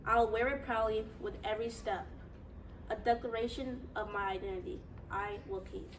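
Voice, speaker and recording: A woman's voice: mid-range voice, steady tone, normal speed. A woman performing spoken-word poetry with a proud, rhythmic, declamatory delivery. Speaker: poet. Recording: distant mic with faint noise.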